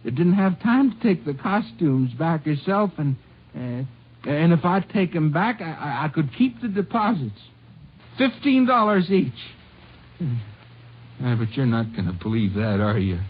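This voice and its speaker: A desperate poor father pleading his case in an old radio crime drama. His husky, higher-set voice halts and stammers defensively. The sound is thin and boxy, like a vintage broadcast with very little bandwidth. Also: very animated, measured pace, heavily acted. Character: poor, desperate father accused as robbery suspect. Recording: muffled vintage radio recording, narrow band.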